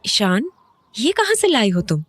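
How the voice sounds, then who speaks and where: An uneasy mother played by an actress in a horror audio drama. Her voice is fairly high and very animated, questioning and conversational, recorded close and dry. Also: a little slow, slightly rough, no echo, exaggerated voice. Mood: puzzled. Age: adult. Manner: questioning, conversational, Hindi mixed with English. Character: uneasy mother and wife, returning to her old home.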